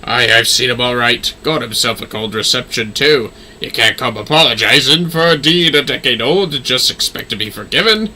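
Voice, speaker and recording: A man puts on a salty fantasy-game soldier, blunt and swaggering. The gruff talk comes in a bright, forceful voice with lively swings and noise behind it. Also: mid-range voice, moderate pace, few pauses, voice pushed down. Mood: grudging.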